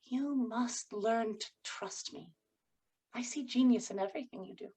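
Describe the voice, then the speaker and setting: A poised, controlling wife in a literary period drama, acted by a woman. Her voice sits fairly high with a husky edge. She phrases things formally and persuasively, always genteel. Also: a little slow, mellow. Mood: soothing, coaxing. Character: Juliana, poised, controlling, coaxing wife of a writer. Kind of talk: screenplay table read, period literary drama.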